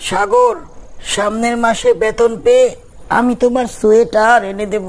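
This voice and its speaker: A man's voice: very high voice, very animated, normal speed, vocal fry, heavily acted. Man voicing a meek, worried cartoon father. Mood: dejected. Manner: meek, pleading. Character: poor, humble, worried working father. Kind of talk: cartoon voice acting. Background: background music.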